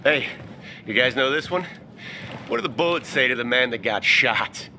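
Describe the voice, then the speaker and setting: A man's voice: medium pitch, expressive, moderate pace, sharp tone, slight background noise. A male actor playing a breezy, joking soldier in a video game cutscene. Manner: breezy, teasing. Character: jokey, rough-and-ready male soldier.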